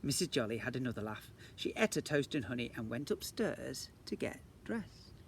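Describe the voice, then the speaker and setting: A man's voice: mid-range voice, sing-song, normal speed. A man, a teacher, reading a storybook aloud to young children outdoors, playfully adding questions and asides. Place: outdoors in a garden. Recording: faint outdoor background noise.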